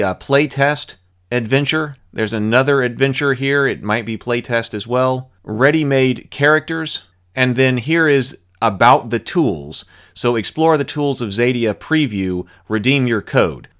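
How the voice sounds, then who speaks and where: Male host, mid pitch, relaxed screen-share rules walkthrough, reads aloud, thinks out loud. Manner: thinking aloud, with small restarts while reading. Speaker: channel host reviewing a game. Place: home room with bookshelf.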